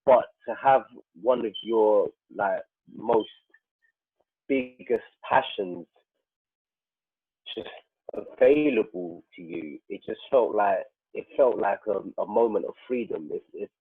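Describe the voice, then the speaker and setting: A man speaking haltingly as a guest in a panel conversation, reflecting personally and often repeating himself as he searches for words. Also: fairly low pitch, husky. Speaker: guest, prison radio producer. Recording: roomy, phone-like narrowband call.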